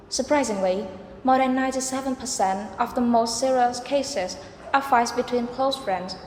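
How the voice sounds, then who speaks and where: A woman's voice: a little high, a little mellow, a little hiss or hum, lightly acted. A teen girl playing a formal news anchor. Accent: Vietnamese. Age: teens. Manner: formal scripted reading. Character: formal female news anchor and talk show host. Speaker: news anchor and talk show host. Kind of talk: mock news broadcast.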